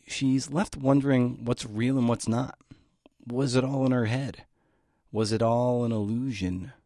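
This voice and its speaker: A man's voice: mid-range voice, a little mellow, dry recording, clean studio sound. A man hosting a podcast, reading scripted introductions between pieces. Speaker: podcast host.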